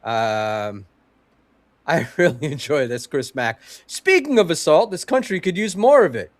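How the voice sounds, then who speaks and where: A man with a nasal, stuffed-up voice talking on a casual podcast, his pitch right in the middle range for a man. He slips jokes in flatly and deadpan, and the sound is dry and close with no room around it. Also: a little slow, no echo. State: stuffy nose.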